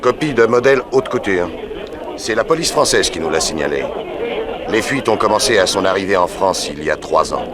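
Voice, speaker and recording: Deep-voiced, unruffled radio detective. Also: male voice, expressive, a little fast, over a noisy crowd. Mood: wry, explanatory. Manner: unruffled, dry, authoritative. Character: cool, worldly, self-assured male private detective. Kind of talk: 1960s French radio drama acting.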